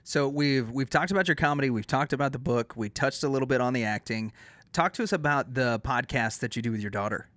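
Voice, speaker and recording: A man's voice: medium pitch, fast, a bit bright, no echo. A man co-hosting a podcast, asking a guest questions in a casual, conversational way. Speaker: podcast co-host, interviewer. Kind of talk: casual interview chat.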